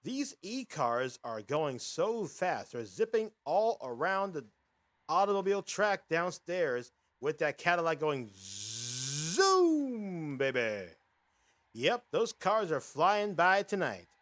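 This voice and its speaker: A man with a high, bright voice hosts a casual vlog, narrating footage in a rambling, upbeat announcer patter. His pitch swings widely as he talks, and his tone stays sharp and forward. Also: sing-song, takes it slowly. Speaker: host.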